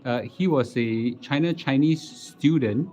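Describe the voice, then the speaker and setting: A middle-aged man with a Singaporean accent chatting casually as a livestream host, halting and repeating words as he goes. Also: medium pitch, warm tone, soft background music. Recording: dull lo-fi live stream audio.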